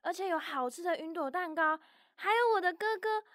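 A woman's voice: very high-pitched, animated, fairly quick, fairly bright tone, dry recording, studio quality. A woman voicing a small, naive young mouse with a childlike, innocent and emotional way of talking in a children's audio story. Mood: homesick.

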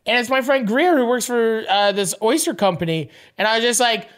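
A man's voice: extremely high pitch, a little fast, clean tone. A young man co-hosting a podcast, telling a long rambling story in casual chat, repeating words and jumping to quoted voices. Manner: animated, rambling, repeats words and restarts, swears. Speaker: podcast co-host.

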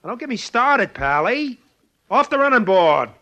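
An actor playing a tough, sneering, threatening truck driver in a 1940s radio crime drama. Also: male voice, very high voice, sing-song, normal speed, bright, forceful tone, muffled recording, heavily acted. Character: tough, menacing male truck driver with wrench.

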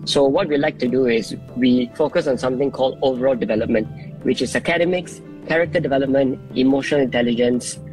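A man's voice: mid-range voice, quick pace, darkish tone, low-quality recording. A man, explaining his work as a guest in a radio interview. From Singapore. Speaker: coach, phone-in guest. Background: music bed.

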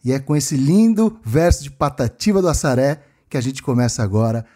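A man's voice: medium pitch, lively intonation, brisk, mellow. A man presenting a casual podcast as co-host, using affectionate endearments. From São Paulo, Brazil. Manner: affectionate, addresses people with endearments.

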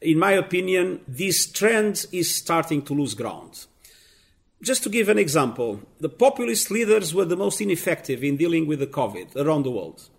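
A man giving measured political commentary as an interviewed guest, speaking slowly and deliberately with frequent pauses. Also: fairly high pitch, big pitch swings, vocal fry.

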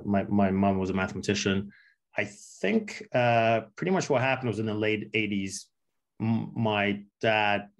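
Casting note: thirties man, reflective interviewee, lowish voice, pauses and restarts a lot. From Russia, raised in England. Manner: thoughtful, halting, many fillers and restarts. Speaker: entrepreneur guest. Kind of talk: interview answers.

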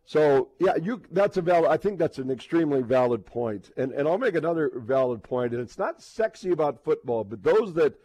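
A man hosting a sports radio show in casual talk, halting and repeating words as he builds toward a point. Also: fairly high pitch, lively intonation, dark tone, husky, no echo, poor audio.

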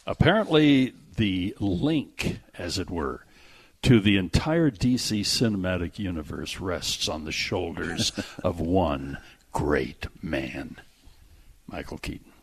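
Animated low-voiced man, slightly husky. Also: takes it slowly, no echo. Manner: animated, jokey, exaggerating. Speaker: movie radio show host. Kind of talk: casual movie news chat. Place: radio studio. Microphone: close studio microphone.

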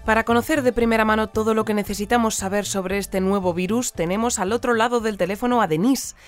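An actress playing a composed female news presenter delivering a scripted bulletin in a measured way. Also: mid-range voice, steady tone, close to an everyday voice. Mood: composed, announcing. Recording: music bed behind the voice.

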